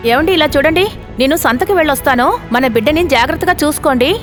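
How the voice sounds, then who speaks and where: A woman voicing a protective village mother in an animated story, speaking with strong emotion. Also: high-pitched, fast, close to an everyday voice. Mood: stern. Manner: emphatic, emotional delivery. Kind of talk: cartoon voice acting. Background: faint background music.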